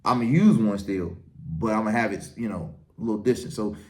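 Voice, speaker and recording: A man's voice: on the low side, a little fast, a little mellow. A man who works as a wedding and event DJ, hosting his own show and giving casual, confident advice with a strong, projecting voice. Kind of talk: casual advice talk to camera.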